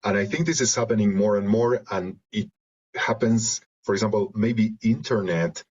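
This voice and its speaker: A man from Argentina with a Spanish accent, reflecting in an interview in halting, searching phrases over a video call. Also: lowish voice, darkish tone. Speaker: interview guest, creative and therapeutic facilitator. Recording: dull lo-fi video call audio.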